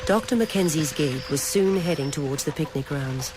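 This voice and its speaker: A narrator reading a novel aloud in a measured, literary storytelling manner. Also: mid-range voice, animated, noisy background. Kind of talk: scripted audiobook-style narration of a novel.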